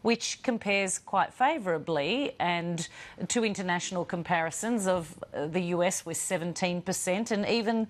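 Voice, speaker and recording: Woman interviewer, low-pitched, probing and measured. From Australia. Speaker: news interviewer. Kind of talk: news interview.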